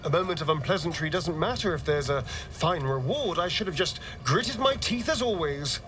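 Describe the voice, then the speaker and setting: An actor playing a vain, wounded male vampire rogue in a video game, with an arch, theatrical, halting delivery. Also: fairly high pitch, expressive, over music, close to an everyday voice. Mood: troubled, rueful.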